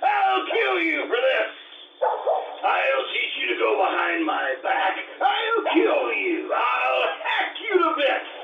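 Vengeful bellowing aristocrat, creaky straining shout, echoey noisy radio-drama stage sound. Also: male voice, very high-pitched, very animated, slow, exaggerated voice. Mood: furious. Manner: bellowing, melodramatic. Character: enraged, jealous, vengeful nobleman. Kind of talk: 1950s radio drama acting. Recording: distant, echoey, noisy, dull stage recording.

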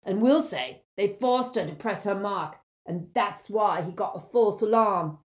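A woman's voice: mid-range voice, measured pace, pitched above the performer's own voice, close to an everyday voice. A woman voicing a brutish, hot-tempered male wizard thug who talks in coarse dialect. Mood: crafty. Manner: loud, coarse dialect speech.

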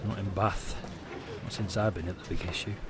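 A man as a firm male adviser, fairly low pitch, matter-of-fact delivery, radio play, slight background noise. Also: fairly even intonation, normal speed, lightly acted. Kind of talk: audio drama dialogue.

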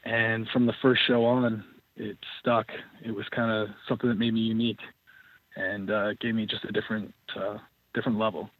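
A professional wrestler, a man, answering interview questions in a steady, matter-of-fact way. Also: fairly low pitch, a little fast, no room sound. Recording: tinny dry phone line.

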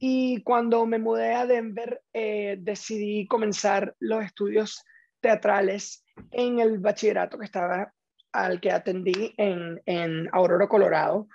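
A man's voice: sits high, steady tone, measured pace, creaky, darkish tone, no echo, highs cut off. A man from Caracas with a Venezuelan accent, telling his story casually and emphatically as an interview guest. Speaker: interview guest, stage actor.

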